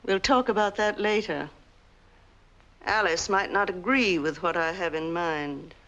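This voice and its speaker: A woman's voice: fairly low pitch, measured pace, fairly bright tone, dull audio. An actress with an American accent playing a bossy, scheming middle-aged mother in a 1940s film noir. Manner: commanding, curt. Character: cold, scheming, bossy mother running a family swindle. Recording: noisy old film soundtrack.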